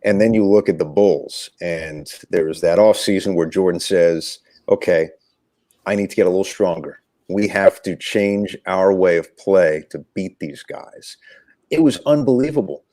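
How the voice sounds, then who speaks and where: A man's voice: low-pitched, moderate pace, warm tone, no room sound. A man giving conversational sports analysis as an interview guest, reflective and emphatic in his phrasing, like a storyteller. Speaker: sports radio play-by-play commentator, interview guest.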